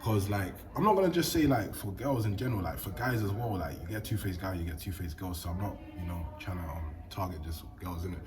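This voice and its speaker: Deep-voiced young man. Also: quick pace, few pauses, over music. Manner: laid-back slangy talk, animated bursts. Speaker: host. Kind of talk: casual interview chat.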